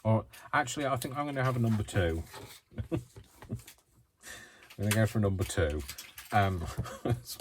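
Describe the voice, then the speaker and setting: A British man from the UK chatting through a crafting tutorial at home, rambling, self-deprecating and quick to giggle. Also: lowish voice, lively intonation, slight background noise. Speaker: craft vlogger.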